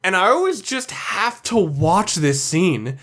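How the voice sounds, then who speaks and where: Higher-voiced man narrating a countdown. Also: sing-song, takes it slowly, fairly bright tone. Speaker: video essayist, countdown narrator. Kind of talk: scripted countdown commentary.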